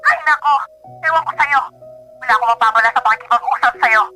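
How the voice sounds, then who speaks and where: A woman's voice: a little high, quick pace, sharp tone, soft background music. An actress with a Filipino accent playing a nagging, scornful mother in a radio drama, scolding and belittling over the telephone. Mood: scornful, demanding. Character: nagging, scornful mother demanding money from her partner.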